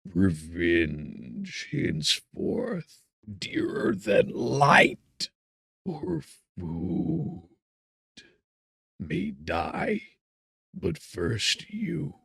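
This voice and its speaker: Slow, rasping, vengeful monster. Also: male voice, low voice, wildly swooping pitch, a bit bright, no echo, clean studio sound, exaggerated voice. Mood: vengeful despair. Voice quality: smothered, rasping, breathy. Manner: slow, drawn-out, grandiose threats. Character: wretched, vengeful created monster, menacing and bitter. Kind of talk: dramatic gothic audiobook acting.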